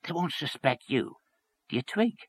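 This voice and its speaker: A man's voice: a little high, big pitch swings, moderate pace, slightly rough, no room sound, voice pushed up. A man voicing a blustering, scornful middle-aged man who grumbles and gossips. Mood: grumbling.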